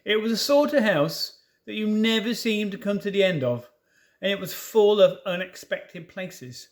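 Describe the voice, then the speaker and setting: Animated man reading a children's story aloud. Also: high voice, moderate pace. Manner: expressive, with spoken asides to listeners. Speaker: storybook reader. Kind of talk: children's story read aloud with informal asides.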